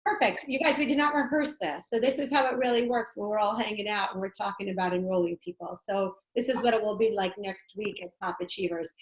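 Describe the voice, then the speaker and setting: A hoarse woman recovering from strep throat, giving emphatic, self-assured motivational talk about network marketing on a conference call. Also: medium pitch, fast talker, few pauses, reverberant, rough recording. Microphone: phone or computer on a conference call.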